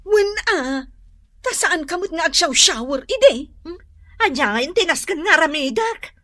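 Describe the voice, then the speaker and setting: A woman acting an excitable, gossipy village housewife with an Ilocano accent in a comedy radio drama, exclamatory and quick to laugh. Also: very high-pitched, no room sound, clean studio sound, heavily acted. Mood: nosy, animated.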